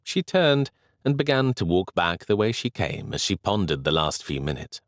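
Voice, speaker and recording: A man reads a period novel aloud in a measured, expressive way. His voice is fairly low and moves with lively inflection, recorded dry and close. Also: no echo. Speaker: audiobook narrator. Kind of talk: scripted audiobook narration.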